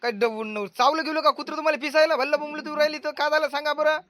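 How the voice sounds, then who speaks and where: Woman playing a high, fast-talking sassy village wife. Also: fairly even intonation, a bit bright, dry recording. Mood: teasing scolding. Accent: Vidarbha Marathi. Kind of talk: homemade rural comedy cartoon dialogue.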